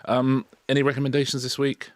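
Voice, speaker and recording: A middle-aged man, co-hosting a casual podcast chat, eager and quick with stammered restarts. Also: medium pitch, monotone, dry recording, clean studio sound. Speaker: podcast co-host.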